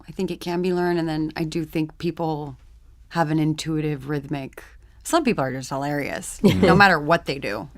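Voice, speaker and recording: A woman's voice: deep voice, normal speed. A woman guest chatting casually in a panel interview.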